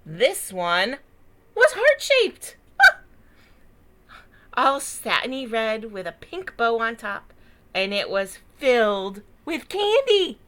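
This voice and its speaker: Female children's storyteller, bright and highish, expressive picture-book read with dramatic emphasis. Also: drawn-out words, pauses often. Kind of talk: animated read-aloud narration with asides.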